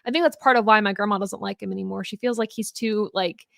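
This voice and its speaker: A woman's voice: medium pitch, quick pace, vocal fry, fairly bright tone, dry recording, studio quality. A young woman talking about her career as a podcast guest, upbeat and chatty with many "like"s. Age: young adult. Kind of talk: casual interview chat.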